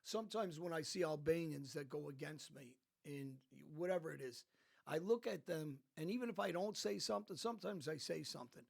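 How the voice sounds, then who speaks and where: American guy, gravelly, kinda high voice, emphatic storytelling, restarts a lot. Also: brisk. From United States. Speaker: podcast guest. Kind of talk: casual conversation.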